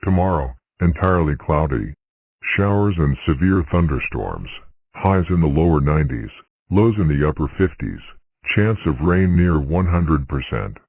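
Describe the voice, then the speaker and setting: A synthetic man's voice reading a scripted weather radio forecast in a flat, measured, automated delivery. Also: a bass voice. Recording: dull lo-fi radio sound.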